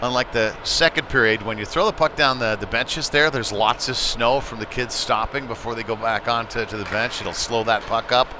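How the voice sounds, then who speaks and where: A man's voice: mid-range voice, moderate pace, few pauses. Man calling hockey over rink noise. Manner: conversational, with excited bursts on big plays. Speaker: play-by-play sports broadcaster. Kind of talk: live youth hockey commentary. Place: ice rink, live broadcast. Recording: noisy arena ambience behind the voice.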